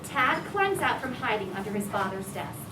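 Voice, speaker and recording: A woman's voice: medium pitch, very animated, moderate pace, very noisy. A woman reading out stage directions as scripted narration. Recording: echoey room, distant mic, loud music behind.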